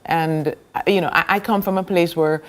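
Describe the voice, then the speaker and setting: A woman's voice: on the low side, fairly even intonation, moderate pace. A Jamaican woman, a playwright, talking earnestly about her play in a casual interview. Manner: earnest, heartfelt promoting. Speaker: playwright guest.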